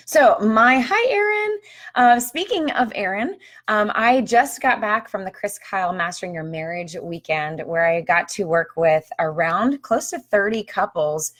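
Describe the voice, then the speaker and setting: A woman hosting a casual live video, talking about her book in a chatty, upbeat way with frequent ums, her voice slightly hoarse. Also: mid-range voice, lively intonation, unhurried, fairly bright tone. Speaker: author and marriage counselor hosting a live video. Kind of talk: casual live-stream chat. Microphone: phone or webcam on a live stream.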